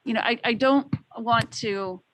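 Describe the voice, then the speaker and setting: A woman school board member raising a question haltingly, hedging with many fillers. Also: on the high side, a bit bright, no echo.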